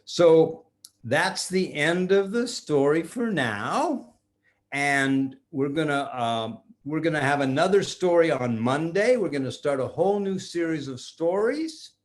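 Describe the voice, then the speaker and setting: Older man telling children a story, higher-pitched. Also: lively intonation. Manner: simple, repetitive phrasing with drawn-out words. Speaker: grandfather storyteller. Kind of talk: improvised bedtime storytelling for children.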